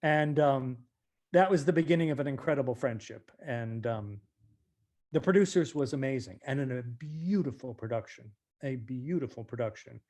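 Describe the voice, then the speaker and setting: An American man, an actor being interviewed, speaking reflectively and deliberately, leaning on key words for emphasis. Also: mid-range voice, a little mellow. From United States.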